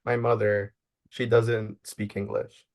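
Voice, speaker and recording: Gravelly, flat-voiced young man. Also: fairly low pitch. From Maryland, United States. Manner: earnest, measured, thinks aloud. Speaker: social worker interviewee. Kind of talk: reflective interview answers.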